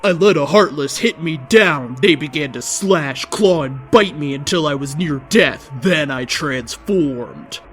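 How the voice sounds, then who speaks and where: Man, story reader, higher-pitched voice, declamatory first-person narration, dramatic, light music bed. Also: lively intonation, unhurried, hardly any pauses, fairly bright tone. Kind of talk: first-person reading of amateur fan fiction.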